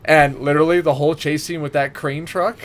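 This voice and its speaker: Man chatting casually, animated storyteller. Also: a little high, fairly quick. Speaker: podcast co-host. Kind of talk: casual chat.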